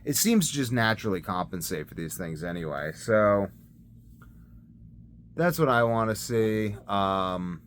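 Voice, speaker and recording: A man's voice: on the low side, lively intonation, unhurried, fairly bright tone. A man rambling casually through a personal video diary, hesitant and thinking aloud as he goes. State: sleepy. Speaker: vlogger. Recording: clear background noise.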